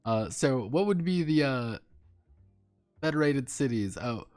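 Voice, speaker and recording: A man's voice: medium pitch, animated, measured pace, darkish tone, clean tone, soft background music. A man live streaming as a game designer, rambling casually between reading draft text aloud and making chuckling asides.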